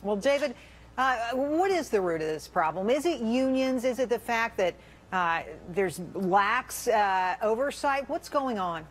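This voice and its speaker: A woman's voice: a little high, animated, unhurried. An American woman hosting a television news panel in a conversational broadcast interviewing style.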